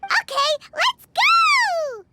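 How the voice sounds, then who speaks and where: A woman voicing a tiny, excitable childlike fairy companion in a video game, squeaky and bubbly. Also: very slow, slight background noise, full character voice. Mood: excited. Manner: exclamatory, bubbly, chatty.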